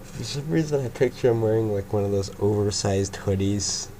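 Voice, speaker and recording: Deep-voiced young man reading fanfic aloud. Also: normal speed. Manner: stumbling, swearing, laughs at own slips. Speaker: fanfiction reader, narrator. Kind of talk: amateur fanfiction reading and banter. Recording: clear background noise.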